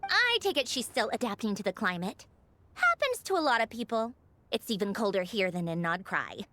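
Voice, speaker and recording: A woman's voice: fairly high pitch, very animated, brisk, sharp tone, slight background noise. A woman playing a haughty, refined noblewoman in a video game, dryly gracious with a teasing manner. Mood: amused, curious. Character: haughty young noblewoman villain-turned-ally, dryly gracious.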